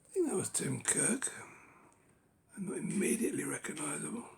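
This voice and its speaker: A man with a hoarse, gravelly voice that keeps dropping to a half-whisper, musing unhurriedly over his book collection with many ums and rights. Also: a little high, big pitch swings, brisk. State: losing his voice. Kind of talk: casual collection walkthrough.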